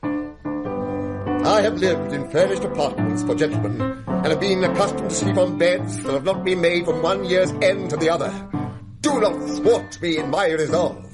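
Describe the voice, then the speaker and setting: An English actor playing a pompous, self-righteous young Victorian gentleman in a radio drama, speaking with mock-heroic dignity. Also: high voice, animated, moderate pace, over loud music. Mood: heroic bravado. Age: young adult. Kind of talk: radio drama narration and dialogue.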